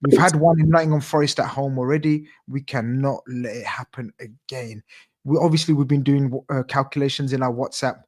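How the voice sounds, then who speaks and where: A man's voice: mid-range voice, fairly even intonation, fairly quick. A young man hosting a casual live football chat show, upbeat, repeating phrases and reading out viewer comments. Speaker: show host.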